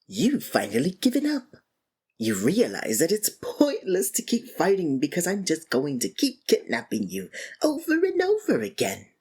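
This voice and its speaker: A woman playing a sardonic, theatrical supervillain who monologues and taunts in a scripted ASMR roleplay, with a breathy voice. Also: sits low, heavily acted. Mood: smug, taunting.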